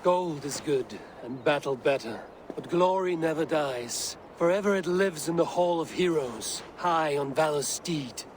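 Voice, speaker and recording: Proud Viking warrior, steady and plain-spoken, fairly high voice, a man acting. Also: measured pace, slight background noise, natural voice. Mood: earnest. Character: bold young Viking warrior hero.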